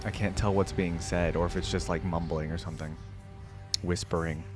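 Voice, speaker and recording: A man's voice: sits low, fairly even intonation, moderate pace. A man giving casual reaction commentary as a video host, thinking aloud as he goes. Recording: music playing behind the voice. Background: game theme music.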